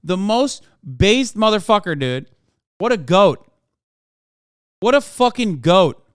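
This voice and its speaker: A man's voice: sits high, very animated, slow, clear, resonant tone, dry recording, studio quality. A man hosting a live stream, giving casual, profane reaction commentary and bantering with his chat. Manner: swearing, exclamatory, bantering with chat. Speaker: streamer host.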